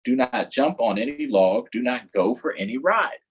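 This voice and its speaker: A man voicing an excitable, folksy swamp boat guide in a storybook, wary of a gator and speaking with exaggerated delivery. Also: medium pitch, normal speed, husky, highs cut off, voice pushed down. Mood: cautioning.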